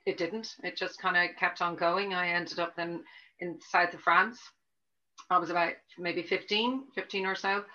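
A woman's voice: fairly low pitch, pushed and bright. A middle-aged Dublin woman, a tennis coach, answering interview questions in a chatty, rambling way full of restarts. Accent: Dublin Irish. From Ireland. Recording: dull lo-fi audio.

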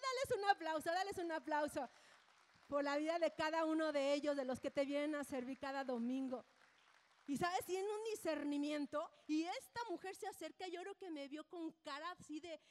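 A woman gives a personal testimony to a congregation, telling it as an animated, colloquial story with repeated phrases and acted-out dialogue. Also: high-pitched. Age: 50s. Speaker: church speaker giving testimony. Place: large indoor hall, speaking to an audience. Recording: church hall with long reverb.

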